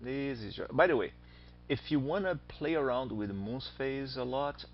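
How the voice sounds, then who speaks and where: Gravelly Brazilian-accented male instructor. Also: medium pitch, moderate pace. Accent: Brazilian Portuguese. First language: Portuguese. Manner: chatty, asks students questions, jokes aside. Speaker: astronomy instructor. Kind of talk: screen-recorded lecture. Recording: dull lo-fi voice recording.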